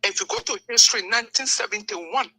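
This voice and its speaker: A woman's voice: medium pitch, quick pace, pushed and bright, breathy edge, no echo. An older woman from Liberia who grew up in Ghana, giving her earnest opinion as a phone-in caller. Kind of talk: earnest opinion on a call-in show.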